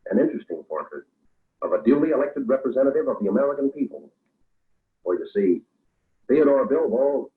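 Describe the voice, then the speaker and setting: A man's voice: mid-range voice, close to an everyday voice. Tinny, solemn vintage radio narrator played by a man. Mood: grave irony. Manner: solemn, deliberate, theatrical. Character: grave, ironic male narrator of an accusing political exposé. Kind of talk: 1940s radio drama narration. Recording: tinny, narrow old radio recording.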